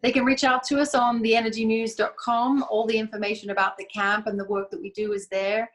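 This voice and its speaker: A woman answering interview questions as a business founder, measured and reflective, sometimes restarting a phrase. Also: fairly high pitch, flat delivery. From Australia. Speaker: guest, event company founder.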